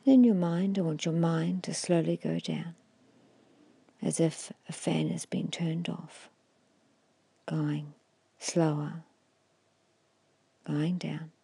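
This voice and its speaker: A woman giving an ASMR guided hypnosis in a hushed, breathy whisper, slowly and soothingly instructing with long pauses. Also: low-pitched, dry recording. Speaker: hypnotist guiding a meditation.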